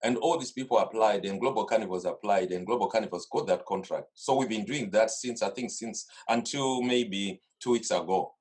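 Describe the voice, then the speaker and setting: A man's voice: fairly low pitch, fairly quick, few pauses, darkish tone, slightly rough. A middle-aged man from Zimbabwe with a Zimbabwean accent, talking casually and at length in an interview over a video call. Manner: rambling storytelling, many restarts. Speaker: carnival organiser, guest. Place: home studio desk.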